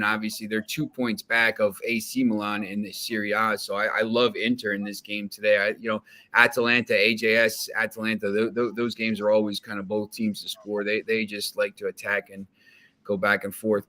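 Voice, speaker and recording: A man's voice: fairly low pitch, level tone, normal speed, pushed and bright. A man from New Jersey, a guest on a sports betting podcast, talking casually and rambling with many restarts and fillers. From New Jersey, USA.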